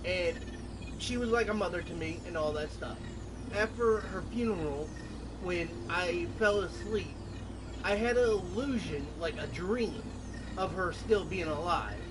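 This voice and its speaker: A man streaming a game, chatting casually and telling things in a halting, confiding way. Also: high voice, animated. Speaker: gameplay streamer. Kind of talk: casual chat over gameplay. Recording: distant mic with clear background noise.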